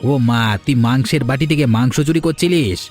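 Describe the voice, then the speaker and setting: A man's voice: medium pitch, a little fast, a little mellow, natural voice. A man voicing an officious, tattling manservant in a fairy tale cartoon, scolding and accusing in a bullying way. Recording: music behind the voice.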